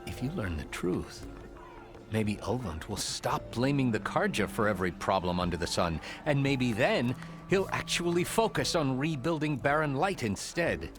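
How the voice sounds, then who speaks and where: An actor playing an exasperated official in a video game, speaking in a formal, articulate and wry way. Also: male voice, mid-range voice, very animated, moderate pace, over music, close to an everyday voice. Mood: earnest. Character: exasperated male official opposing a troublesome rival. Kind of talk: scripted video game dialogue.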